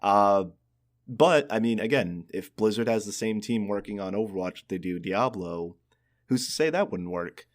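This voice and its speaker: A man's voice: lowish voice, expressive, moderate pace. A man co-hosting a podcast, chatting casually about games and often repeating words as he works out his opinions. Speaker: podcast co-host.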